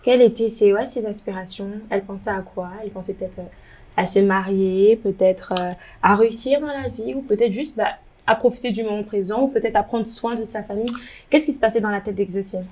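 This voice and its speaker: A woman's voice: medium pitch, fairly even intonation, a little fast, a little mellow. A woman interviewer asking probing questions, often suggesting possible answers herself.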